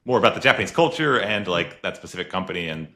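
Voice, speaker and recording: Super deep voiced guy hosting a podcast, relaxed rambly chat, lots of "like". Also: fast. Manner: easygoing, chatty, many "like" fillers, laughs often. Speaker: podcast host. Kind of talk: casual conversational interview.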